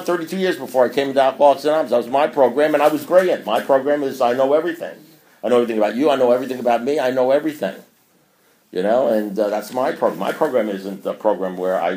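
A man's voice: medium pitch, darkish tone. Older man preaching in an echoey room. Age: 60s. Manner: preachy, rambling, self-mocking. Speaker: speaker at a recovery meeting. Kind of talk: meeting talk. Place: meeting room.

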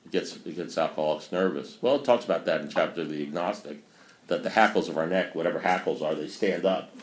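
Deep-voiced guy in his 60s at a meeting, rambles and preaches, roomy echo. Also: fast talker. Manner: preachy, rambling, self-mocking. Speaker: speaker at a recovery meeting. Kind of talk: meeting talk. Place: meeting room. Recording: echoey room.

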